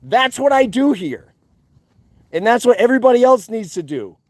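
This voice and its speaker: A loud, defiant man rants to his viewers in a high-pitched voice. He swears freely and hammers his points home by repeating them. The sound is dry and close. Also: sing-song, normal speed, no echo. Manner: profane. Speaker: activist channel host. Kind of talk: fired-up monologue to viewers.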